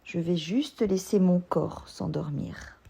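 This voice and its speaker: A woman yoga instructor leading a guided relaxation meditation, giving gentle, soothing instructions. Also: lowish voice, slow pace, creaky, slightly rough.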